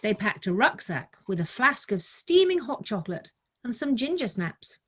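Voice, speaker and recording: A woman's voice: fairly high pitch, big pitch swings, normal speed, fairly bright tone, slightly rough. A middle-aged woman who is a children's author, telling and reading a story for young listeners. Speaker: guest author reading her children's book. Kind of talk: casual storytelling, then read-aloud of a picture book.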